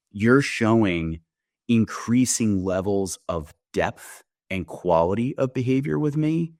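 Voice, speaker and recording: A man speaking as a company founder and guest, explaining his product in a conversational sales-pitch style and often checking in with "right?". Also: dry recording, studio quality. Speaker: company founder demonstrating software, interview guest.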